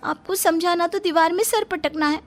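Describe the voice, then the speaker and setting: A woman's voice: very high voice, normal speed, faint music behind. A woman playing a spoiled, stubborn young bride in a cartoon, petulant and forever complaining. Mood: defiant. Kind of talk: Hindi cartoon dialogue.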